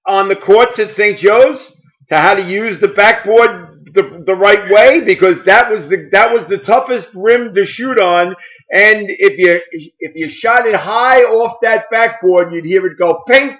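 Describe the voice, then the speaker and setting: A man's voice: high voice, a bit bright. A man hosting a sports podcast in casual chat, talking chattily and restarting words as he asks long, rambling questions. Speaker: podcast host. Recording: dull, lo-fi.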